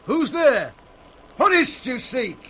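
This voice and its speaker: Devoted, watchful king's man, animated and fairly high, noisy vintage radio recording. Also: slow pace, exaggerated voice. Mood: challenging. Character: loyal, protective male attendant to a king. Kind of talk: 1960s Shakespearean radio acting.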